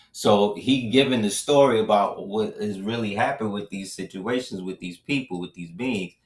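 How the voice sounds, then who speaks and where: A man hosting his own show, talking in a loose, casual commentary style and dropping in tag questions between points. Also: fairly low pitch. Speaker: host. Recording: roomy, distant mic.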